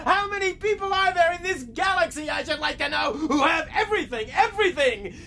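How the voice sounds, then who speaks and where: A man playing a lonely, stranded man in a radio drama, excitable and rambling as if unhinged by solitude. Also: extremely high pitch, shouting. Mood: manic, gloating. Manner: rambling, wildly emotional, halting.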